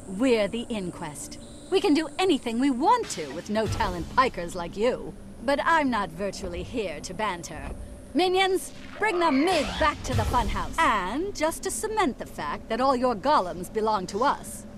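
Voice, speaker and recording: A woman's voice: fairly high pitch, sing-song, moderate pace, over music. A woman voicing a gloating, insulting gnomish mad-scientist villain in a video game, sneering and theatrical. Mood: gloating menace. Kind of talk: video game cutscene voice acting.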